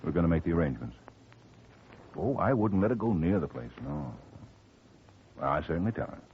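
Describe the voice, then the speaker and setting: A man playing a smooth middle-aged lawyer in a 1950 radio drama, genial and chatty, quietly scheming. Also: low voice, big pitch swings, fairly quick. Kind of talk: 1940s radio acting. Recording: tinny faint-hiss old radio recording.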